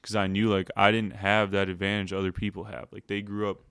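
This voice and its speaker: A young man with a lowish voice that stays quite level and sounds smooth and clean. He tells his story in a laid-back way full of "like" fillers. The sound is dry and close. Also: monotone, a little fast, a bit bright, no echo. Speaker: guest. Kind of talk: casual storytelling.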